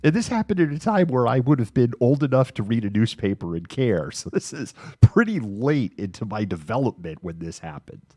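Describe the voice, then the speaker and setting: A middle-aged American man hosting a livestream, talking casually and candidly in a halting, informal monologue. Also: mid-range voice, sing-song, mellow, no echo, clean studio sound. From Massachusetts, United States. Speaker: livestream news host. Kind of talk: casual monologue of personal reminiscence.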